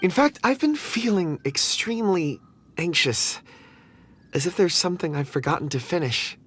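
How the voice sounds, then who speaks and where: A man's voice: high voice, animated, fairly bright tone. Anxious, hesitant young man. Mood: anxious, confused. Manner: hesitant, apologetic, halting. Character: anxious, forgetful, polite young man searching aimlessly. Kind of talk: video game voice acting. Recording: faint music behind the voice.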